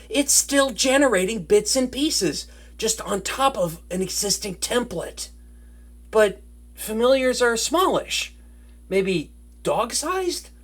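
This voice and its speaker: A woman playing a nervous, smitten young wizard in an intimate roleplay audio drama, with a stammering, excitable and bookish way of talking. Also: fairly high pitch, slightly rough, exaggerated voice. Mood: musing. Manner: flustered stammering, hesitant, breathless enthusiasm.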